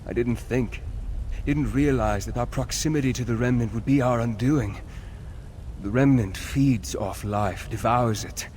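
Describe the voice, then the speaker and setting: An actor playing a grave, regretful vampire count and mentor in a video game, with solemn, measured, formal speech. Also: male voice, mid-range voice, darkish tone, lightly acted. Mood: regretful, resolute. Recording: clear background noise.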